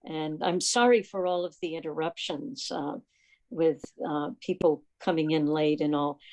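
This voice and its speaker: A woman's voice: low-pitched, moderate pace, a little mellow. A woman hosting an online club meeting, speaking off the cuff in an effusive way with hesitant phrasing. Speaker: meeting host. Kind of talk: unscripted club meeting talk on a video call. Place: remote video call.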